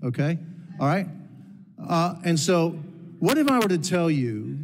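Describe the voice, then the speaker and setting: A man's voice: on the high side, very animated, measured pace. A man from Cuba, a congressman pressing a witness with repeated pointed questions at a hearing. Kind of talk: heated committee hearing questioning. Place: large hearing room. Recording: long reverb of a hearing room.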